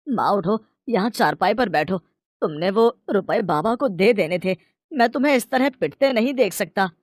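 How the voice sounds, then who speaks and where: A woman's voice: mid-range voice, lively intonation, moderate pace, no echo, studio quality, close to an everyday voice. Woman voicing an earnest young son. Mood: worried. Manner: earnest, emphatic. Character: earnest, dutiful, protective young son, a student. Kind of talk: Hindi animated moral story voice acting.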